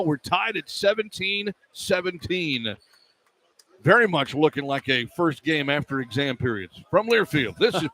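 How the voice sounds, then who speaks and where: A man's voice: on the high side, fairly bright tone. A man calling live basketball play-by-play for radio in clipped, running phrases from an indoor arena. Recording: live arena broadcast with background noise. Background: faint arena noise.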